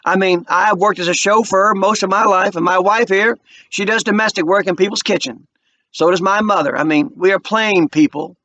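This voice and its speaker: A man voicing a proud, halting working father. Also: high-pitched, pushed and bright, pitched above the performer's own voice. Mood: earnest. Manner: halting, earnest, groping speech. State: choked up.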